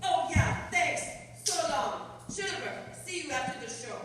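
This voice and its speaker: Woman as breezy, dismissive circus starlet for radio drama, lively swoops, far off in an echoing hall. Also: high-pitched. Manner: brisk, dismissive. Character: breezy circus star brushing off an admirer. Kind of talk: stage radio-play acting. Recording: echoey hall from far off, noisy.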